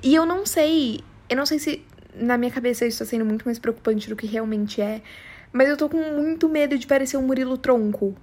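A woman's voice: high voice, fairly quick, a little mellow. A young woman with a strong São Paulo Brazilian accent, chatting casually and confessionally in a rambling, slangy, emphatic way. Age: young adult. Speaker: podcast host.

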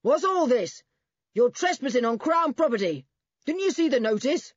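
A woman's voice: high voice, expressive, moderate pace, no echo, lo-fi sound, pitched above the performer's own voice. A woman voicing a burly, bossy Air Force man in a children's story, barking commands angrily. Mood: angry, shouting.

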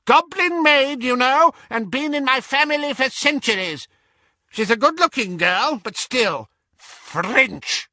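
A man's voice: very high-pitched, expressive, slow pace, pushed and bright, dry recording, studio quality, pitched above the performer's own voice, full character voice. A man voicing a cantankerous, snobbish, very old witch in an audiobook, barking bluntly critical remarks. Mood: boastful, imperious. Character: cantankerous, imperious, snobbish 107-year-old great-aunt witch.

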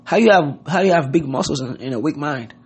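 A man's voice: on the high side, lively intonation, a little fast, breathy edge. A man from Haiti giving an earnest motivational talk, loosely worded and full of "you know what I mean.". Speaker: podcast host. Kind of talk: casual motivational monologue.

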